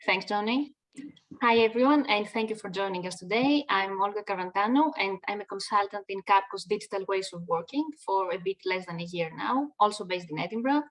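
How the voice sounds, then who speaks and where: A woman's voice: mid-range voice, fairly quick, far from the mic, low-quality recording. A woman from Greece with a Greek accent, introducing herself in a friendly way on a business webinar. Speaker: consultant, panelist.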